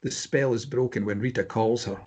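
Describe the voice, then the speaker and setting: Slightly husky male narrator. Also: mid-range voice, fairly even intonation, moderate pace, a little mellow. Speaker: stage-direction narrator. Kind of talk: scripted narration at a table read.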